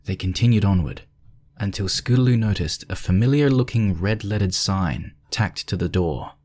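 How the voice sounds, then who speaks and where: Low voiced guy narrating a story, scripted read. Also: normal speed, darkish tone.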